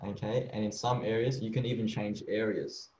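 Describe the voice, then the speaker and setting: A man's voice: lowish voice, little pitch movement, normal speed, darkish tone, slight background noise. Echoey man explaining visas, lots of "okay". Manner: casual, repeats "okay" and "um" often, restarts phrases. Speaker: migration agent. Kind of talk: explaining visa rules from slides. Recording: echoey room, slightly dull sound.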